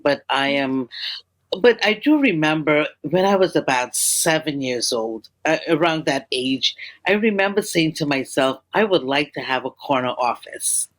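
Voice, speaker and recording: A woman's voice: low voice, animated, measured pace. Reflective woman from Guyana. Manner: reflective, repeats words as she thinks. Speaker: guest, school leader. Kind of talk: interview conversation.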